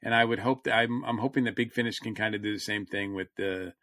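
A man's voice: on the low side, fairly even intonation, fast talker, fairly bright tone, no room sound, clean studio sound. A man co-hosting a science fiction podcast, talking casually and often searching for his words mid-thought. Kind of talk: casual, fannish panel chat.

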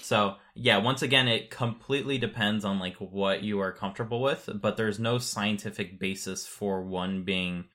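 A man's voice: on the low side, moderate pace, hardly any pauses, fairly bright tone. A man co-hosting a podcast, explaining things in an informal, matter-of-fact way in casual conversation. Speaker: podcast co-host.

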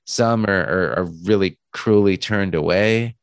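A hoarse man with a cold, hosting a podcast from his home studio, talking in an earnest, thoughtful way with frequent restarts. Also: sits low, measured pace, no room sound. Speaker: podcast host. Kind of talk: podcast introduction.

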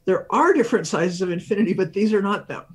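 A man with a fairly high voice gives a science explanation as an interview guest. He thinks aloud, setting up questions and then working through them with examples. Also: animated, quick pace. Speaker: research professor, interview guest.

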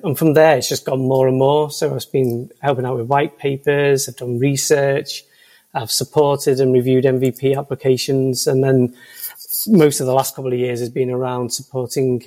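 A man's voice: mid-range voice, little pitch movement, normal speed, mellow. Scouse guy on a podcast, lots of ums and false starts, chatty. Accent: Liverpool. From Liverpool, England. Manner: hesitant, many ums and restarts. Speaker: podcast guest, senior consultant. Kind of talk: casual interview chat.

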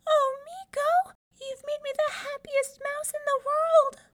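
A woman voicing a sweet, adoring little female mouse in a storybook reading, very high and breathy. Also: steady tone, unhurried, noisy background. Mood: overjoyed.

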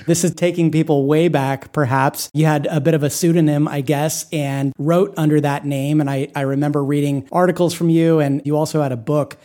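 Upbeat male podcast host, higher voice. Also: steady tone, fairly quick, hardly any pauses, warm tone. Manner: friendly, admiring interviewer. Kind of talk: podcast interview.

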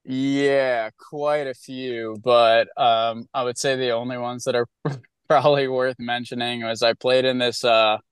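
A musician guest in an interview, answering in a hesitant, laid-back way full of fillers. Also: male voice, medium pitch, flat delivery, a little slow, clean tone, no echo. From upstate New York.

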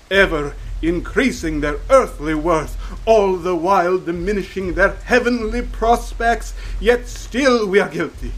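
A man acting as a zealous cult leader in a gothic audio tale. He preaches very slowly and solemnly in a fairly high male voice, with archaic, menacing righteousness. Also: poor audio. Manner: solemn, archaic, slow oratory. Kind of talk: dramatic sermon in an audio horror story.

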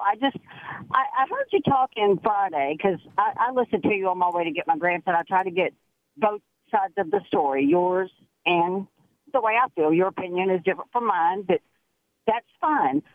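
A woman's voice: on the low side, animated, no echo. Older woman caller on a tinny phone line. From Tennessee. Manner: earnest, chatty, halting with many restarts. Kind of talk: phone-in call. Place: in her car.

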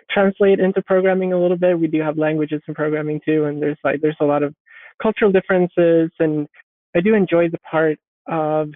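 A woman's voice: low voice, dry recording. Woman on a tinny remote line. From Sweden. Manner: thoughtful, restarts sentences while searching for words. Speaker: guest, software developer. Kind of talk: casual interview answers. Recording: dry, phone-like remote audio.

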